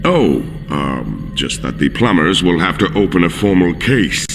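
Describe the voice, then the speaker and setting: A game villain from another world, deep and full of gravel. He speaks slowly and formally, pompous and calculating, his pitch swooping with each grand phrase. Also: sing-song, music in the background, full character voice. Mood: formal, guarded. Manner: slow, deliberate, formal phrasing. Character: menacing, scheming male alien villains, slow and pompous. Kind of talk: video game cutscene acting.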